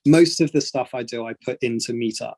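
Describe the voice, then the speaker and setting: A man's voice: medium pitch, brisk, a little mellow, dry recording. A man from England, a photography coach, answering questions casually on a video call with many fillers and restarts.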